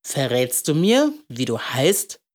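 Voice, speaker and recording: Woman as a kindly, slow old candlemaker man. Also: extremely low pitch, sing-song, heavily acted. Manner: gentle, ceremonious, drawn-out questioning. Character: kindly, playful old male candlemaker and shopkeeper.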